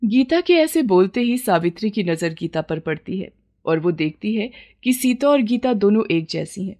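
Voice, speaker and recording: A woman's voice: mid-range voice, lively intonation, normal speed, mellow. A woman narrating a scripted moral story as the storyteller.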